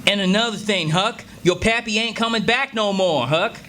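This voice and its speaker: A man's voice: high voice, moderate pace, bright, forceful tone. An actor playing a cocky, adventure-hungry country boy who schemes eagerly in an old-time radio play. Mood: earnest, boastful. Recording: live stage recording with faint noise.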